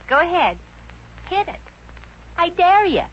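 A woman's voice: extremely high pitch, sing-song, a little slow, heavily acted. A woman playing a brash, teasing young woman with a sassy, mock-innocent manner in an old radio comedy. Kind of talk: 1950s American radio comedy acting. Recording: dull, hissy 1950s radio recording.

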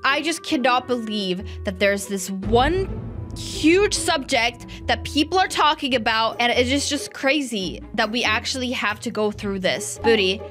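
A woman's voice: a little high, animated, a little slow, a bit bright. A young woman hosting a playful gaming chat, reading out and answering comments in an exaggerated, theatrical way, recorded close on a desk mic. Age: young adult. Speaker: gaming YouTuber, host. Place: small indoor room set up for streaming. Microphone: close desk microphone with headphones. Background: music.

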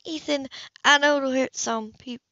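A woman's voice: sits high, fairly even intonation, unhurried, sharp tone, no echo, muffled recording, pitched above the performer's own voice, heavily acted. A woman voicing a worried, caring young woman in an audiobook reading. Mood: earnest.

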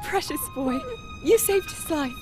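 A woman voicing a gentle, tender young princess in a video game, speaking softly and graciously. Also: sits high, animated, a little slow. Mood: relieved, tender. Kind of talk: video game cutscene acting. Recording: loud game music behind.

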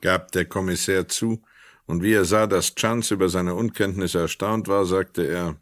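A man narrating a radio drama from a script in a measured, literary storytelling manner. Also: low voice, steady tone, no echo, studio quality.

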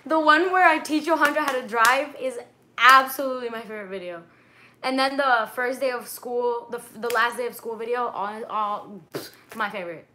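A woman's voice: fairly high pitch, normal speed, bright, forceful tone. A young woman chatting casually on a livestream from her bedroom, slangy, chatty, swearing freely. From Dominican heritage. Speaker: vlogger on a livestream. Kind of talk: casual livestream chat, reading out viewer comments. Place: bedroom at night, wrapped in a blanket. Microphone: webcam or phone at arm's length.

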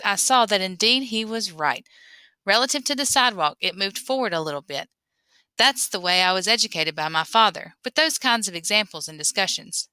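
Woman, bright crisp-edged tone, medium pitch, expressive read-aloud narration. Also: no echo. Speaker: reader.

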